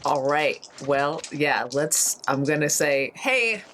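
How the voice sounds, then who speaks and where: A woman's voice: deep voice, lively intonation, slow, fairly bright tone, slight background noise. A woman playing a tabletop roleplaying game, chatting casually as she talks through her character's moves. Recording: music behind the voice.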